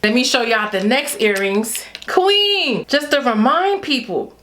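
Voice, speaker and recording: A woman's voice: a little high, very animated, measured pace, fairly bright tone, a little hiss or hum. A young adult Haitian woman with a Haitian accent, chatting playfully and directly to her viewers in a casual hair vlog. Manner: chatty, playful, talks straight to viewers with y'all. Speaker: beauty vlogger.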